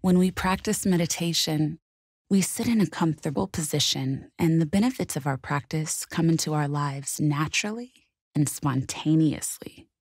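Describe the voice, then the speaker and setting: A woman leading a guided meditation in a calm, gentle, soothing and measured way. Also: low-pitched, slightly rough, dry recording, studio quality.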